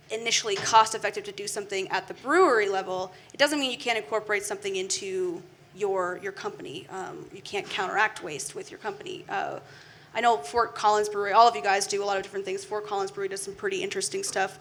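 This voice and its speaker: A woman's voice: medium pitch, fairly bright tone. A woman moderating a panel discussion, asking long conversational questions with fillers. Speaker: moderator, trade-press editor.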